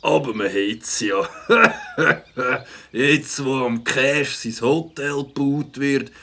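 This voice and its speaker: An actor playing a smug, mocking biker in a cartoon, bragging in slangy talk. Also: male voice, medium pitch, slow pace, pushed and bright, slight background noise. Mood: smug, boastful. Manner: bragging, smug chuckling drawl. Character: boastful, mocking biker show-off.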